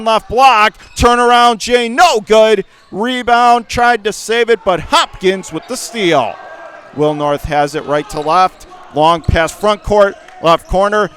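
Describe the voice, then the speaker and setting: A man calling high school basketball play-by-play for radio, rapid and rising to shouts on big plays. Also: clear, resonant tone. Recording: radio broadcast call, ball bounces behind.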